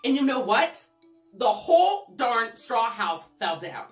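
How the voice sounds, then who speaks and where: A woman's voice: fairly high pitch, sing-song, takes it slowly, pitched below the performer's own voice. A woman voicing an indignant, self-excusing wolf in a chatty, dramatic children's storybook read-aloud. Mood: smug, matter-of-fact. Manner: chatty, dramatic, mock-innocent. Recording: roomy, distant microphone, faint music.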